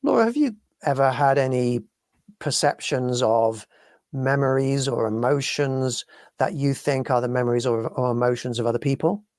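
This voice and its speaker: A British man from the UK hosting a talk show, reasoning through ideas in a measured way. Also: mid-range voice, darkish tone, no echo, clean studio sound. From United Kingdom. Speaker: podcast host. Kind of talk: casual talk show discussion.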